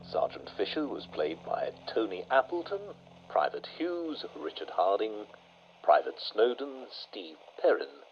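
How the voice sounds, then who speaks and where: A man's voice: fairly low pitch, very animated, unhurried. A man reading scripted cast credits as an announcer. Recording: dull lo-fi, faint noise.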